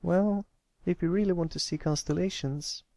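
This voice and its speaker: A man voicing a shy, earnest young male cartoon pony who speaks gently and hesitantly in a storybook reading. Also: high-pitched, normal speed, no echo, clean studio sound, voice pushed up. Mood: helpful, explaining.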